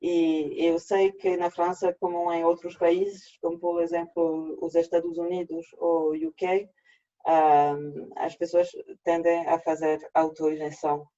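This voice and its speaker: A man with a French accent, native French speaker, presenting an online workshop in a halting way with frequent restarts, over a video call. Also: on the high side, flat delivery, mellow. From France. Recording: dull lo-fi video call audio.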